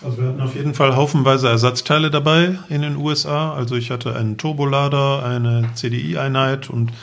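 Middle-aged male guest, gravelly fry, medium pitch, detailed and matter-of-fact. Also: fairly even intonation, a little fast. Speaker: podcast guest, motorcycle traveller. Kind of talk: casual anecdotal explanation.

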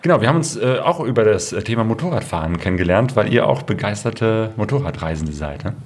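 A middle-aged man hosting a motorcycle travel vlog, chatting casually and halting often with fillers. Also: fairly low pitch, expressive. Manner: hesitant, with many fillers. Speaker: vlog host. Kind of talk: casual chat.

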